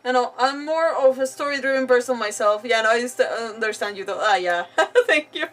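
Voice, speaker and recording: A woman giving casual, rambling livestream commentary with animated asides as she plays a game. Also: on the high side, a little fast, recorded from a distance. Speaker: game streamer. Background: video game music.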